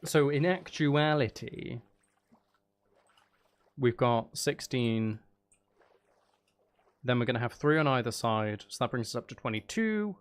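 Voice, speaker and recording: A man's voice: mid-range voice, lively intonation, a little slow, pauses often. A man giving casual gameplay commentary, muttering to himself in a playful, self-deprecating, sweary way. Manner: mutters and counts aloud to himself. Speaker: streamer.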